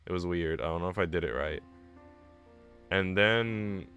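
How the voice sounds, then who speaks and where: A man's voice: low voice, unhurried, fairly bright tone. A man live streaming, switching between casual chat with viewers and reading story text aloud. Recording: music playing behind the voice. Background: background music.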